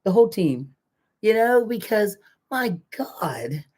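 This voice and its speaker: A woman's voice: medium pitch, sing-song, slow pace, darkish tone, slightly rough. An older woman chats casually as a livestream co-host. Her voice sits in the middle of the range, and she speaks in a folksy, colloquial way with plenty of emphasis. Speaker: co-host of a live community chat show.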